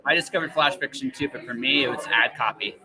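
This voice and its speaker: A man hosts a casual interview show with a mid-range voice. He talks in a rambling, emphatic way, checking in as he goes. Crowd chatter sits clearly behind him. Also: mid-range voice, fast, rough recording. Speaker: podcast host.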